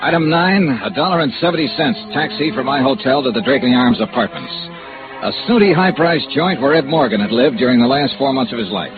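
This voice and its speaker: An American actor playing a hard-boiled, impatient investigator in a radio noir drama, with a clipped, dry, sardonic delivery. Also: male voice, mid-range voice, lively intonation, fairly quick, over music, lightly acted. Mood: dry, businesslike. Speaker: lead actor and narrator. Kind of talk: 1950s American radio noir acting and narration. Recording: tinny vintage radio recording.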